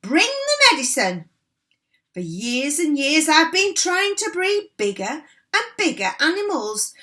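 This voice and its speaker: A woman voicing a small, easily worked-up farmer in a storybook, shouting excitedly in a raised voice. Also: high voice, measured pace, pitched above the performer's own voice, exaggerated voice. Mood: eager.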